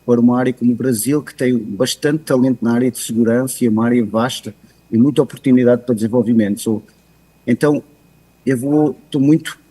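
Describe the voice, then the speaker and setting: A man with a European Portuguese accent, joining by video call to give a measured, hesitant expert presentation with many pauses. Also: medium pitch, steady tone, mellow, slightly rough, rough recording. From continental Portugal. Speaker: guest speaker, cybersecurity expert. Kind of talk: presentation talk.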